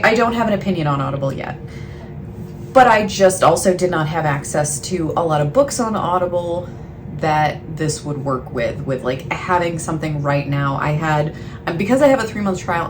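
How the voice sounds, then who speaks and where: Woman, lowish pitch, casual vlog chat, rambling, self-deprecating, trailing thoughts, noticeable background noise. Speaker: book vlogger. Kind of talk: casual chatty vlog talk to camera. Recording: clearly noisy, ambient background sound.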